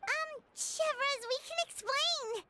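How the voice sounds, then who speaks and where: A woman voicing a tiny, excitable girlish fairy companion in a video game, squeaky and eager. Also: slow, background noise. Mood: eager, flustered. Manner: eager, exclamatory. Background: game music.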